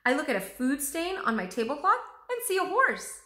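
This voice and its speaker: A woman's voice: high-pitched, big pitch swings, moderate pace, pushed and bright, voice pushed up, full character voice. A woman reading a children's storybook aloud, voicing an eager grown male artist who lectures with exclamatory enthusiasm. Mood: excited. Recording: roomy, echoing room sound.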